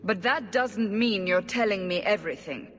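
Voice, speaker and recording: A woman's voice: medium pitch, normal speed, breathy edge, long reverb tail, lightly acted. A woman playing a stern, suspicious female interrogator in a video game, terse and sceptical.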